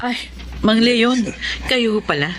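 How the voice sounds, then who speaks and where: A woman's voice: fairly high pitch, lively intonation, takes it slowly, fairly bright tone, slight background noise. An actress playing an earnest, hardworking single mother in a radio drama. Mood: frightened. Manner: earnest, emotional.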